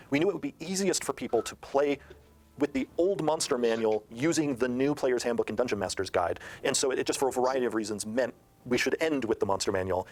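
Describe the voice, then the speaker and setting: A man speaking as a game designer in a promotional discussion of a rulebook, halting often as he explains. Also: medium pitch.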